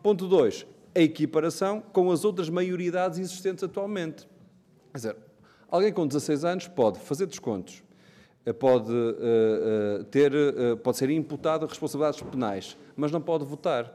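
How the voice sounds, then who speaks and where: A man with a European Portuguese accent argues his point in parliament, his tone dark and mellow. He often hesitates and restarts mid-sentence. A long reverb from the big chamber trails his voice. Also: a little high, moderate pace. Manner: argumentative, many hesitations and restarts. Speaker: member of parliament. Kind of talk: parliamentary debate speech.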